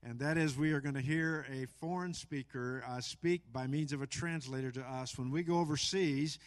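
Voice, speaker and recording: A middle-aged man, a pastor, introducing guests and leading a spoken prayer in church, pausing often with fillers. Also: medium pitch, steady tone. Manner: halting, with frequent filler pauses. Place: church sanctuary.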